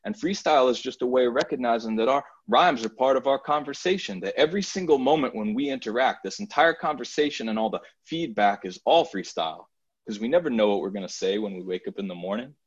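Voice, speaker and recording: A man's voice: mid-range voice, expressive, quick pace, husky, dull audio. A man freestyle rapping in a rhythmic rhyming flow, then talking earnestly and thoughtfully as a guest artist. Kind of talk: freestyle rap then reflective talk.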